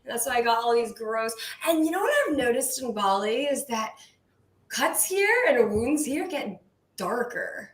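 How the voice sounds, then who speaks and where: A woman co-hosting a music radio show, chatting freely and telling stories with lively exclamations. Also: on the high side, a little slow. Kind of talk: casual chat. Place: Bali. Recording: echoey room.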